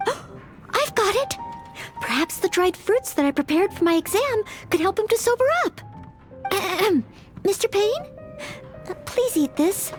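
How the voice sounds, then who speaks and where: A woman playing an earnest, polite young maid knight in a video game, speaking formally and a little hesitantly, eager to help. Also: extremely high pitch. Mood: gentle, concerned. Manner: formal, courteous, hesitant phrasing. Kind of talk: scripted anime-style video game acting.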